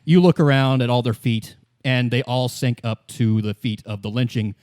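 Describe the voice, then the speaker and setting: A man running a tabletop roleplay as game master, narrating casually and haltingly as he improvises. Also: medium pitch, a little mellow, clear, resonant tone. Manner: conversational, halting, repeats words as improvising.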